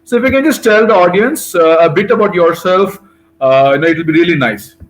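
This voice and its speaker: Halting man interviewing over a call. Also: high-pitched. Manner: halting, many fillers. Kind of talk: casual interview.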